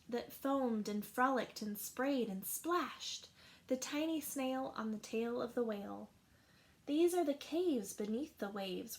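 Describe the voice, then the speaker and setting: Woman teacher, storybook read-aloud, high-ish voice, slow deliberate pace. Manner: slow, expressive rhyming narration. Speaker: teacher reading a picture book aloud.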